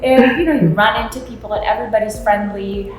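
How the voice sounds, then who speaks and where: A woman's voice: mid-range voice, steady tone, fast, echoey room, poor audio. A businesswoman answering interview questions in an earnest, conversational way, with frequent fillers. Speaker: business leader guest.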